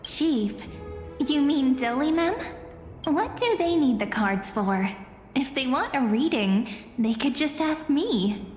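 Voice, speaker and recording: A woman voicing a graceful young fortune-teller in a fantasy game, soft-spoken, wistful and playful. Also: high voice, very animated, slow pace, heavily acted. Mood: light, teasing. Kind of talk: fantasy video game voice acting. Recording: reverberant, clear music behind.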